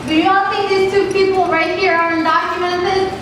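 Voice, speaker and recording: A high-voiced woman from Mexico speaks with a Mexican accent, earnest and direct as she puts questions to a class. She is picked up from far off in a very echoey classroom, with clear background noise. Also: little pitch movement, moderate pace. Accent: Mexican Spanish. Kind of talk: classroom presentation.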